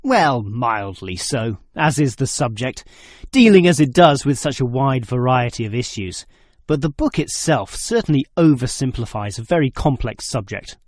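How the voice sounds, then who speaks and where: A man acting a critical, precise university student in a scripted exam dialogue, speaking deliberately. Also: mid-range voice, very animated, darkish tone, no echo. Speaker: student in a listening test dialogue.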